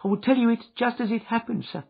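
A man voicing a panicked young male thief who pleads and confesses in a high, desperate voice. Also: fast, vocal fry, husky, exaggerated voice. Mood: nervous confessing. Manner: shrieking, desperate, sobbing. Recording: dull lo-fi recording.

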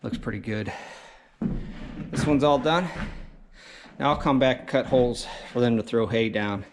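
A man in his thirties talks plainly and casually into a body-worn action camera while working in a large metal barn. His voice sits at an ordinary middle pitch, broken up by easygoing fillers. Work noise is clearly heard behind him. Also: normal speed. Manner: relaxed, plainspoken, with frequent "uh" fillers. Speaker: homestead vlogger. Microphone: action camera on body and selfie at arm's length. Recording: noisy barn recording.

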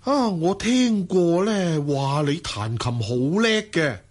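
A man with a Hong Kong Cantonese accent playing a smooth, lecherous, wealthy merchant in a radio drama, coaxing and flirting. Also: fairly high pitch, big pitch swings, slow pace, darkish tone, exaggerated voice. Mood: flirtatious coaxing.

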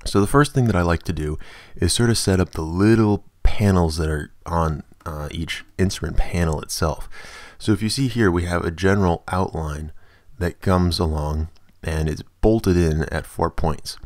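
A man narrating a software tutorial as its host, explaining things in a casual, informal way. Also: sits low, moderate pace, no room sound, clean studio sound.

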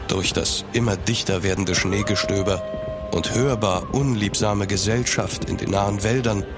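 An actor playing a gentleman traveller who narrates his journey in measured, literary audio drama style. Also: fairly low pitch, fairly even intonation, loud music behind the voice, natural voice. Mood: grave, reflective. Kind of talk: scripted first-person audio drama narration.

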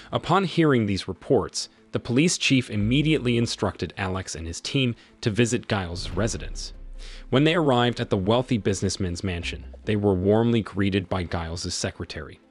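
A man with a fairly low, husky voice narrates a film recap. His delivery is steady and even, like scripted storytelling. Faint music plays underneath.

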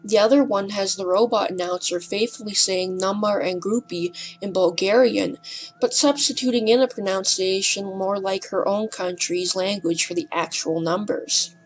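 A woman reading scripted documentary narration in a careful, slightly hesitant and breathy way. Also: medium pitch, fairly even intonation, normal speed, a little hiss or hum, rough recording. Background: music.

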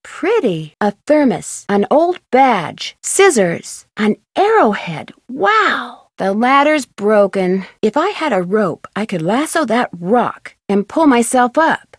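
A woman voicing an inquisitive, upbeat young female detective in an adventure game, chirpy and expressive as she thinks aloud. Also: a little high, takes it slowly, few pauses, breathy edge, no echo, studio quality, heavily acted. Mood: bright, eager. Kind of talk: scripted adventure game voice acting.

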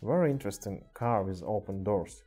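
A man with a Russian accent casually chatting on a live stream in halting English, with many fillers. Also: on the low side, expressive, mellow, faint music behind. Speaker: streamer showing toy car collection. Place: indoor room with cluttered table. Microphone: webcam mic.

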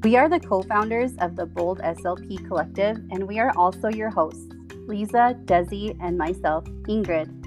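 A woman's voice: medium pitch, moderate pace. A woman co-hosting a podcast, reading a scripted introduction. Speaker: podcast co-host. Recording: music behind the voice.

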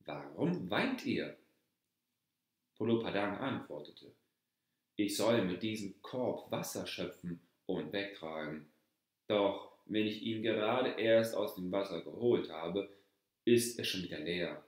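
A male storyteller reads a scripted fairy tale in a low voice. He takes his time, pacing the narration slowly and deliberately. The recording is strongly reverberant, as if made in a very echoey room. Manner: measured, deliberate read-aloud delivery. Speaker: storyteller reading a folk tale aloud.

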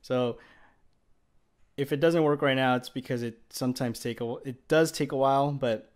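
A man's voice: mid-range voice, normal speed. A man giving a casual tech demonstration as a video presenter, recorded indoors at home. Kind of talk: casual demo narration.

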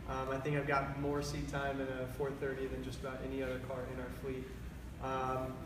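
Flat-voiced young man in an echoey hall. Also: mid-range voice, a little fast. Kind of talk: casual panel talk. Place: large echoey garage showroom with cars, indoors. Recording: echoey room from far off, background noise.

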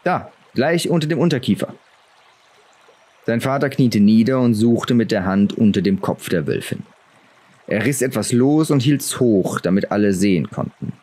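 A man's voice: fairly low pitch, normal speed, dark tone. A man reading a fantasy novel aloud as a dramatic audiobook narrator.

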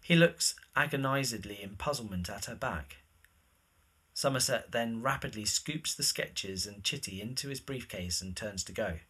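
A man's voice: on the low side, moderate pace, bright, forceful tone, slightly rough. A man narrating scripted stage directions for a drama.

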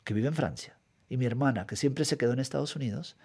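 A man's voice: medium pitch, fast talker, gravelly, darkish tone, slightly rough. A middle-aged man from Guatemala, a writer giving a thoughtful, methodical radio interview in a studio, often repeating words as he searches for them. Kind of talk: radio interview, reflective answers. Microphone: studio microphone.

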